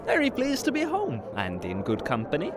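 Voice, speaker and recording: A teasing, smooth-tongued trickster god acted by a man in an audio drama. His pitch swings widely as he delivers formal, mock-polite lines with mischief, over clearly noisy ambience. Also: wildly swooping pitch, normal speed. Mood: smooth, courtly.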